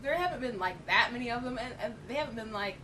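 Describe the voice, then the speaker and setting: A woman with a fairly high voice chats casually and emphatically about what she likes and dislikes, using plenty of slang. She sounds like an opinionated fan co-hosting a review. The recording is a little distant, with faint noise and rumble. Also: expressive, fast, sharp tone. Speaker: co-host reviewing films.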